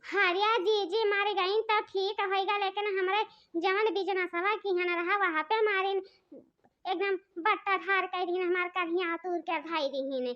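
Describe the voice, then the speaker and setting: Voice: extremely high pitch, fairly even intonation, a little slow. A performer voicing dramatic, squabbling village women in a comedy cartoon, with a thin childlike voice, an Awadhi accent and wailing, scolding delivery. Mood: scolding, bitter. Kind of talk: comedy cartoon dialogue in rural dialect. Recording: dull, muffled lo-fi audio.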